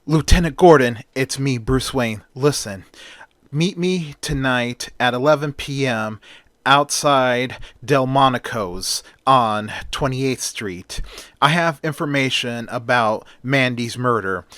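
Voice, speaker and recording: A man voicing a composed man on a staged phone call in a story, measured and matter-of-fact. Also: medium pitch, a bit bright, no room sound. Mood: composed, secretive.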